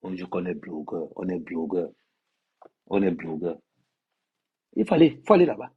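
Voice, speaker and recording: Husky man scolding his followers. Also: sits low, sing-song, normal speed, narrow, tinny audio. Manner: emphatic, scolding, repeats phrases for effect. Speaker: social media influencer. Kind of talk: livestream rant to followers.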